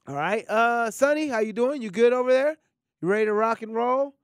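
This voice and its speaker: A man hosting a sports radio show in a studio, chatty and energetic, his voice scratchy and nasal from a cold. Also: very high-pitched, a little fast, smooth, no room sound. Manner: energetic, chatty, lots of shout-outs. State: congested, scratchy voice. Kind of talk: casual sports radio talk.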